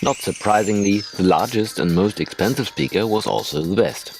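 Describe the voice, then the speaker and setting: A man's voice: on the low side, normal speed, faint music behind. A man narrating a hobby tutorial as a voice-over, hosting his own how-to video.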